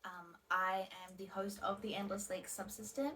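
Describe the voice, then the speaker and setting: Soft-spoken woman in her twenties. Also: medium pitch, little pitch movement, moderate pace, soft background music, low-quality recording. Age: 20s. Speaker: video creator. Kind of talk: interview-style self-introductions.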